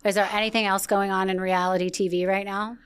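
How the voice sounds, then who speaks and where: A woman's voice: medium pitch, little pitch movement, fast talker, clean tone. A woman hosting a podcast, chatting casually and asking curious, prompting questions. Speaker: podcast host. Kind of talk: casual interview chat.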